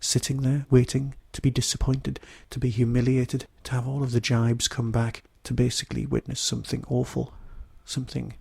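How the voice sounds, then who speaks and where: A male podcast host with a hoarse, creaky voice and a mellow tone. He talks straight to his listeners as a solo reviewer, recorded dry and close. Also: mid-range voice, little pitch movement, normal speed, few pauses, no room sound. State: hoarse from a throat infection.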